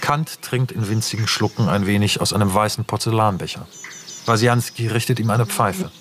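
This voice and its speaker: A man's voice: on the low side, moderate pace, a bit bright, a little hiss or hum. A man narrating a radio drama, reading stage directions in a terse, matter-of-fact way.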